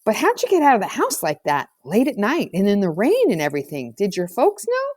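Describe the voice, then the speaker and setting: A woman's voice: medium pitch, wildly swooping pitch, fairly quick, a little mellow, no echo, clean studio sound. A woman voicing an inquisitive, skeptical schoolgirl who presses with questions in a storybook reading. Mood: amazed, curious. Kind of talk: storybook character voice.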